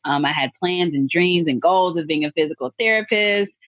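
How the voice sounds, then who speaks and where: A woman's voice: fairly low pitch, normal speed, a bit bright, dry recording. A woman in her twenties, a guest on a podcast, talking cheerfully and laughing easily. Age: 20s. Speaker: guest, real estate investor. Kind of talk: casual interview chat.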